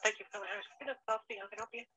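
A woman's voice: medium pitch, flat delivery, fast, sharp tone, slightly rough, low-quality recording. A woman taking a customer service call, polite and a little hesitant, heard over a phone. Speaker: casino phone operator.

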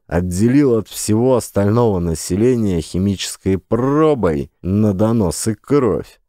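A man reading a first-person memoir as an audiobook in a measured, grave manner, slowing down to weigh his words for emphasis. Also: mid-range voice, dark tone, no room sound, clean studio sound. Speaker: audiobook narrator.

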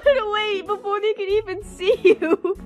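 A woman acts out a peppy teenage anime girl, the teasing best friend. Her voice is extremely high and playful. Music plays softly behind her. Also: fairly even intonation, normal speed. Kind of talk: anime roleplay audio drama.

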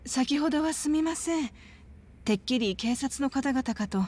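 A woman's voice: high voice, moderate pace, a little mellow, lightly acted. Polite, worried middle-aged woman, played by an actress. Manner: polite, composed, formal. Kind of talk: video game cutscene dialogue.

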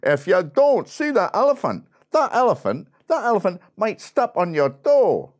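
A man voicing an excitable, bossy bandsman in a told story. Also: sits high, moderate pace, voice pushed up. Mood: bossy warning. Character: excitable Scottish bagpiper, bossy warning man.

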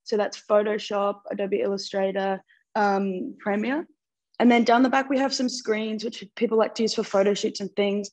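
Young Australian woman, medium pitch. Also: steady tone, moderate pace, darkish tone. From Mildura, Victoria, Australia. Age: young adult. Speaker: student tour guide. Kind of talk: casual informative tour. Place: large studio room.

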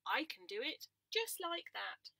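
A woman's voice: high-pitched, very animated, unhurried, creaky, pushed and bright, dry recording, voice pushed up, exaggerated voice. A woman voicing a playful, fussy female cat in a sing-song way. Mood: coy playful.

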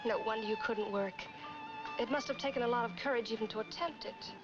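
An actress in her twenties playing a sympathetic, flattering glamorous model in a 1940s film noir. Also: a little high, fairly quick, lightly acted. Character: sympathetic, flattering young glamorous model courting a married man. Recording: dull 1940s film soundtrack, music behind.

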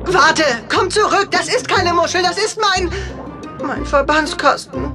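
A woman voicing a small, caring, anxious young male penguin medic in a children's cartoon audio drama, gentle and earnest. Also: very high-pitched, unhurried, over music. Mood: worried, upset.

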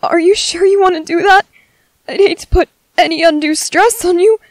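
A woman voicing a gentle, earnest young merman in a soft, halting, soothing ASMR roleplay. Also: very high voice, no room sound. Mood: reassuring.

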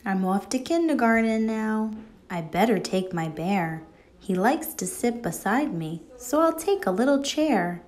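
A woman reading a picture book aloud to children like a teacher, expressive and deliberate, in a child-directed storytelling manner. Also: medium pitch.

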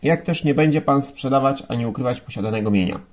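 Man acting an officious, smug clerk, raised pitch. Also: fast talker, darkish tone, close to an everyday voice. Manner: officious, formal. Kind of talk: audiobook character acting.

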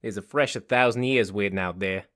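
A man's voice: fairly low pitch, a little fast, fairly bright tone, no room sound, clean studio sound, close to an everyday voice. A man voicing a cocky, restless young drifter vampire in a visual novel game, with breezy, slangy, teasing talk.

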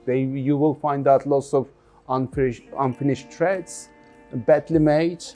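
Mellow middle-aged man, halting delivery. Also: mid-range voice, fairly even intonation, faint music behind. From London. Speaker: jeans factory founder being interviewed. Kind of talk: thoughtful interview talk.

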